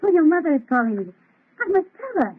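An actress playing a sweet, devoted young fiancée, earnest and gushing, in an old radio melodrama. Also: female voice, very high voice, sing-song, quick pace. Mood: adoring, happy. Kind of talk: 1930s radio melodrama acting. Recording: thin, tinny old radio recording.

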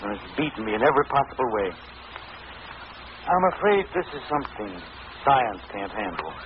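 A man's voice: medium pitch, very animated, normal speed, close to an everyday voice. Man playing grave, weary country doctor. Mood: grim resignation. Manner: grave, resigned. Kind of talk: 1940s radio acting. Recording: muffled, noisy old radio recording.

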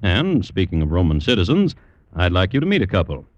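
A man's voice: sits low, wildly swooping pitch, brisk, dry recording, muffled recording. A man narrating a dramatized history in old-time radio style, with clipped, theatrical phrases and a wry touch. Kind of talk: dramatized radio history narration in mid-century newsreel manner. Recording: old radio recording with music behind.

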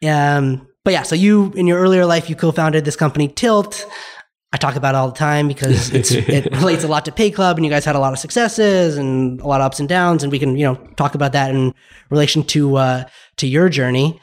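Male podcast host, slightly high pitch, chatty, stumbling restarts. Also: brisk. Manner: friendly, restarts phrases often. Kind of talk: podcast intro and interviewing.

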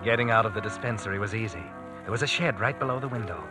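An actor narrating a tense suspense thriller in old-time radio style, insistently addressing the hero. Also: male voice, lowish voice, quick pace, lightly acted. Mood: grim, suspenseful. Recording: vintage radio recording with dramatic music.

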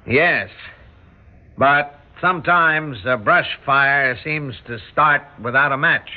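A man's voice: medium pitch, narrow, tinny audio, slight background noise, natural voice. Man playing a grave general, thin old-radio sound. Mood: grave, firm. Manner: formal, measured, halting. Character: grave, deliberate male military general summing up strategy. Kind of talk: mid-century radio drama acting. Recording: thin band-limited old radio recording.

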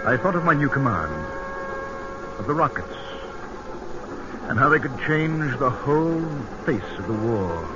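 A brisk, thoughtful British army colonel played by a man in a wartime radio drama, with a medium-pitched voice. The old broadcast is dull and thick with noise. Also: close to an everyday voice. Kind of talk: radio drama narration and dialogue. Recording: noisy, band-limited old radio broadcast.

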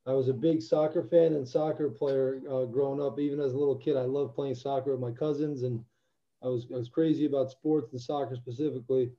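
A man's voice: mid-range voice, steady tone, brisk, dark tone. Young man from Albania, earnest interview guest; muffled remote audio. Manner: earnest, reflective, many restarts. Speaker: interview guest, former football player. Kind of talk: casual interview.

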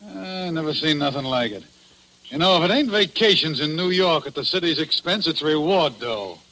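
A man's voice: a little high, animated, moderate pace, pushed and bright. A man with an American accent playing a grasping, mocking jailer, slangy and wheedling, in 1940s radio drama style. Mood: envious, needling. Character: needling, grasping male jailer, slangy and envious. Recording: noisy old 1940s radio recording.

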